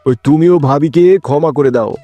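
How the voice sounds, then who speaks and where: A man voicing a gentle, forgiving younger brother in a melodramatic village cartoon, given to exclamations. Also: fairly high pitch, fairly quick, soft background music, natural voice.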